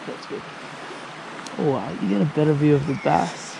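A young man talks in a slightly higher register, exclaiming and repeating his words as he fishes. He is heard outdoors on a creek bank. The action-camera audio has clear background noise. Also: normal speed. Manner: excitable, repeats himself, exclaims loudly. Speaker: fishing companion. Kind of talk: excited casual chat.

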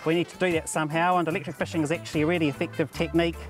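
A man's voice: a little high, flat delivery, racing, over music. A man with a New Zealand accent, a conservation field worker, explaining his work in a matter-of-fact way with some fillers while standing in a stream. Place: outdoors in a shallow stream.

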